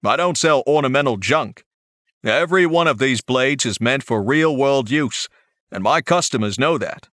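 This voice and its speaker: A man's voice: medium pitch, expressive, moderate pace, fairly bright tone, no room sound, clean studio sound, close to an everyday voice. A man voicing a brash male blade vendor with an Australian accent, talking like a pushy salesman. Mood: blunt.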